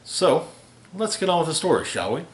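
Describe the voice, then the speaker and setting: A man reading a rhyming holiday parody aloud as a storyteller, with theatrical, deliberate delivery. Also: a little high, big pitch swings, slight background noise. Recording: roomy, echoey home recording.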